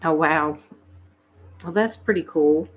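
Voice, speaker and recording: A woman's voice: sits low, takes it slowly, husky, narrow, tinny audio. A middle-aged woman with a Southern US accent, chatting casually and laughing often as an ASMR host. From Southern United States. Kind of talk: casual livestream chat.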